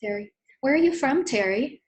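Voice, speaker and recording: A woman's voice: high-pitched, lively intonation, normal speed. A woman who grew up speaking Vietnamese, hosting a therapy training webinar in a friendly, encouraging manner over a video call. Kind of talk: webinar wrap-up chat.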